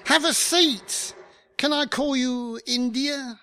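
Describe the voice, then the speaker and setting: A man's voice: very high-pitched, slow, sharp tone, a little hiss or hum, exaggerated voice. An actor in a radio comedy playing a glib, whimsical male consultant with an arch, theatrical manner. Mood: smooth, knowing.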